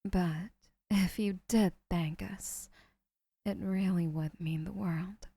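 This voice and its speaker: An actress playing a gentle, obsessive young yandere woman in an audio roleplay, speaking in soft, hushed murmurs. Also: lowish voice, fairly even intonation, takes it slowly, creaky, husky, no echo, heavily acted.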